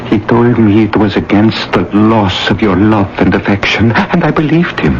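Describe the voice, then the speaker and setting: A man's voice: on the low side, moderate pace, natural voice. An actor playing an anxious, devoted friend of a lady in a radio drama, speaking with urgent concern. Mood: urgent, confiding. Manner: urgent, solicitous. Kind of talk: 1980s radio drama acting. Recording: dull, noisy old radio broadcast.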